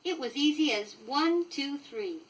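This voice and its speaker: An American woman reading a children's story from a script, expressive and clearly paced for young listeners, on a 1960s vinyl record. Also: high-pitched, a little slow, far from the mic, rough recording. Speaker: storybook reader.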